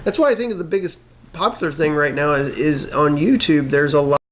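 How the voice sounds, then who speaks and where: A man co-hosting a casual discussion show, making his points in a halting way and repeating words. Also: a little high. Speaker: co-host of a pop culture discussion show. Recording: noticeable background noise and room ambience.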